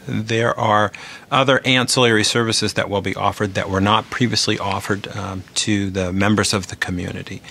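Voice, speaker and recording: A man with a fairly low, gravelly voice gives interview remarks as a medical specialist. His explanations are formal and measured, with noticeable fry in the voice. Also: a bit bright. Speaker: neurosurgeon.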